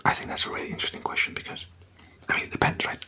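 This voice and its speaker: Casting: ASMR whisperer, very bright and breathy, casual and playful, conversational questions to the listener. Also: racing. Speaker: ASMR content creator.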